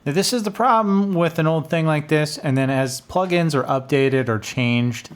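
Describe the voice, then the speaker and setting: A middle-aged man with an average-pitched voice hosts a casual screen-share walkthrough. He rambles informally and thinks aloud as he goes. He is close on a studio condenser in a small home room, with music at times behind him. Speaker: music producer and video host. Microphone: studio condenser on boom arm, close.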